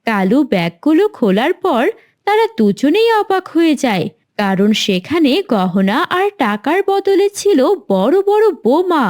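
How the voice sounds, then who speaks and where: High-pitched, lively woman storyteller. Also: a little slow. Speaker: narrator. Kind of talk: scripted children's story narration.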